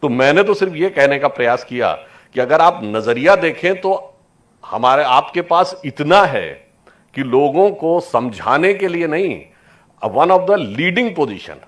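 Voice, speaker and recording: A man giving a persuasive political speech to an audience, with deliberate emphatic phrasing, rhetorical questions and English words mixed in. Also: on the high side, animated. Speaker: political speaker.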